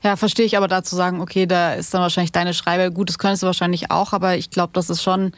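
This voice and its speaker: A woman interviews a guest in a casual, conversational way as podcast host. Her voice is on the low side. She is recorded close, with a very dry sound. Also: little pitch movement, quick pace, dry recording.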